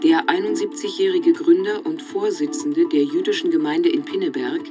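A woman's voice: lowish voice, moderate pace, music in the background. A woman narrating a scripted, news-like report within a radio play.

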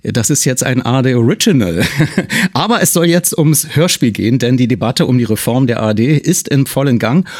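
Male radio host, medium pitch, conversational moderation. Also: expressive, a little fast.

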